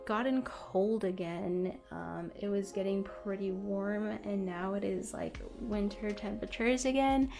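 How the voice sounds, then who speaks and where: A young woman vlogging casually to her viewers, slightly hoarse and scratchy, rambling with lots of ums and you-knows and little self-deprecating giggles. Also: mid-range voice, a little slow, hardly any pauses, warm tone, faint music behind. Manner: rambling, many fillers like um and you know. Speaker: book and anime vlogger. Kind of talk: casual chat to camera.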